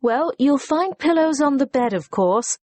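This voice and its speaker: A woman's voice: high voice, animated, unhurried, darkish tone, dry recording. A woman playing a courteous, efficient hotel receptionist in a scripted listening-test dialogue, formal and helpful.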